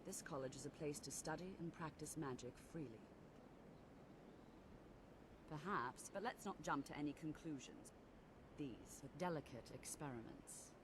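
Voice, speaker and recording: An actor voicing a measured, formal scholar of magic in a video game. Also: mid-range voice, background noise, lightly acted. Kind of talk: video game dialogue acting. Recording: music under the voice.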